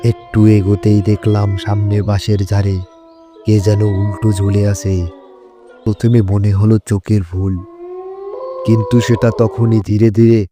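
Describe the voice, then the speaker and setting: A man's voice: fairly low pitch, little pitch movement, unhurried, music in the background. A man narrating a scripted horror story in the first person.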